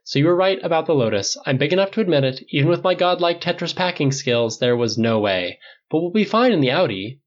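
A man voicing a brash, impatient rich man who talks fast and rambles defensively. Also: fairly high pitch, animated, lo-fi sound, pitched above the performer's own voice, close to an everyday voice. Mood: boastful.

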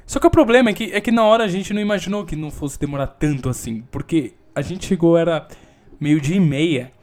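Animated young Brazilian man, rather high. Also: a little fast. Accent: Brazilian Portuguese. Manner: swears a lot, animated storytelling with sudden loud outbursts. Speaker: podcast host. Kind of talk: casual solo podcast monologue. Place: home.

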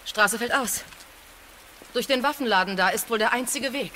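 An actress playing a cool, ruthless secret agent in an audio drama, curt and businesslike. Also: female voice, a little high, moderate pace, sharp tone, slight background noise. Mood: cool, dry.

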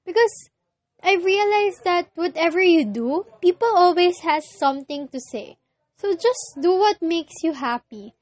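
A young woman with a Filipino accent, whose first language is Filipino, hosting a casual solo podcast and often pausing to search for words. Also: very high-pitched, lively intonation, no echo. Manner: hesitant, searching for words with many pauses. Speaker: podcast host.

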